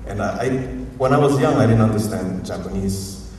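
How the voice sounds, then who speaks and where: Hawaiian guy, lowish voice, rambling casual talk, mic far off in a big echoey hall. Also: normal speed, darkish tone. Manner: informal, rambling, chuckling often. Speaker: university professor, speaker. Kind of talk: unscripted talk at a community forum. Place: museum auditorium. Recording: echoey hall, distant mic, faint noise.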